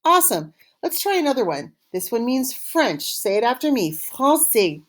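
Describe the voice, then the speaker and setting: A woman's voice: a little high, sing-song, moderate pace. A woman teaching a language class, encouraging and instructive, carefully enunciating phrases for learners to repeat. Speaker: French teacher. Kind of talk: language lesson with call-and-repeat.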